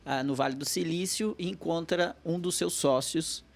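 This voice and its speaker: A man who is a physician hosting a podcast, asking questions in a relaxed, slangy way. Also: a little high, normal speed, gravelly. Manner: relaxed, slangy, friendly asides. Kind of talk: casual interview.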